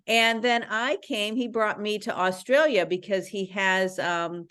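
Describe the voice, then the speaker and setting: A woman hosting a podcast interview, upbeat and chatty with halting fillers. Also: medium pitch, a bit bright, smooth. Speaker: podcast host.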